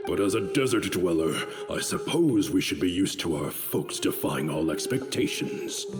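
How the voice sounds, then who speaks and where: Male proud fallen mercenary leader, fanatical and declamatory, swinging widely in pitch, game music and big hall reverb. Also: wildly swooping pitch, normal speed. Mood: bitter, resigned. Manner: grandiose, declamatory. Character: proud, fanatical fallen desert mercenary commander, defiant to the end. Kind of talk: scripted video game acting.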